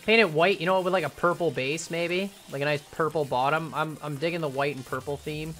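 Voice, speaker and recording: A young man at his desk mic talks his way through a build on a live stream, wandering from thought to thought and correcting himself as he goes. His bright voice is wearing thin and turning hoarse. Soft music plays underneath. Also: on the high side, steady tone, a little fast. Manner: rambling, self-correcting, thinks aloud. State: voice going hoarse. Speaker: game streamer. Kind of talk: casual live-stream commentary while building. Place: home room at a computer.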